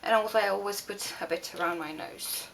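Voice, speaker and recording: A woman's voice: medium pitch, a little fast, creaky, fairly bright tone, slight background noise, rough recording. Hesitant young Norwegian woman. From Norway. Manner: hesitant, many fillers and restarts. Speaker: beauty vlogger. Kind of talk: makeup tutorial talk. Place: home room.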